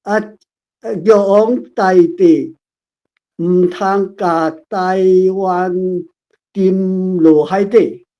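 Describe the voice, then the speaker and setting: A woman's voice: mid-range voice, steady tone, very slow, warm tone. A woman with a Taiwanese accent reading a script aloud hesitantly, sounding out words piece by piece over an online call. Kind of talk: halting read-aloud. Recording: dull lo-fi online call audio.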